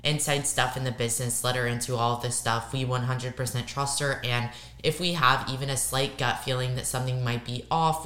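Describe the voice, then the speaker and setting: A young woman co-hosting a casual podcast, hoarse and raspy from losing her voice, emphatic and repeating words for stress. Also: rumbling low, a bit bright, echoey room. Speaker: podcast co-host.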